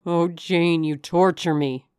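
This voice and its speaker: A low, gravelly gentleman lover acted by a woman pours out urgent, commanding declarations. He is possessive and desperate, in the grand declamatory manner of a dramatic audiobook, recorded close and dry. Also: steady tone, unhurried, dry recording. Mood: tormented, urgent. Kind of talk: dramatic audiobook character reading.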